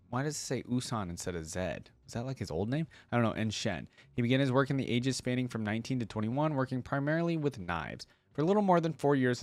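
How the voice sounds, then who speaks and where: A man's voice: medium pitch, no room sound. A man hosting a reaction video, reading wiki text aloud and adding casual, curious comments. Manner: casual, curious asides between read passages. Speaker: host of a game lore reaction series.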